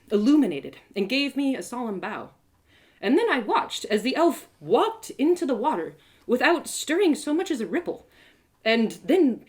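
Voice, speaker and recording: A woman storyteller reads first-person fiction with a very expressive, varied pitch. Her phrasing is deliberate and theatrical, broken by dramatic pauses. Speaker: storyteller, host of live readings.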